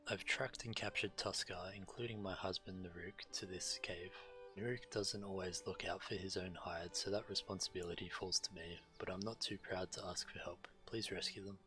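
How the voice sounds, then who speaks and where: A man's voice: sits low, steady tone, moderate pace, pushed and bright, faint music behind, poor audio. A man streaming a game, casually commenting and reading quest text aloud.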